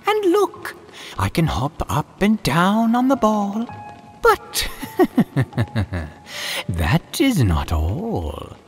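A man's voice: sits high, huge pitch swings, very slow, long pauses, soft background music, voice pushed up, exaggerated voice. A man voicing a mischievous, menacing cat trickster in a theatrical, gleeful way for a spooky storybook. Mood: manic showing off. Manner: theatrical, gleeful, chuckling between phrases. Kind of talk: spooky storybook read-aloud.

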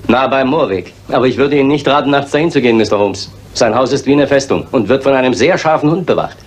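A man's voice: mid-range voice, quick pace, slight background noise, natural voice. A man acting a helpful, plain-spoken village police sergeant in a German radio crime play. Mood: helpful, cautious.

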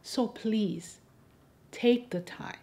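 A woman from Haiti delivering scripted persuasive commentary to an audience, in a pointed, wry and deliberate rhetorical way. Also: on the high side, warm tone. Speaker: host of an advocacy video.

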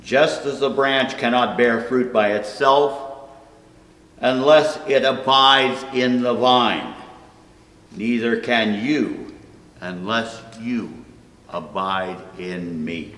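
A man in his seventies reading scripture solemnly, with deliberate, declamatory phrasing. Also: mid-range voice, a bit bright. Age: 70s. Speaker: scripture reader. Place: church sanctuary at a wooden pulpit, indoors. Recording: echoey hall with long reverb.

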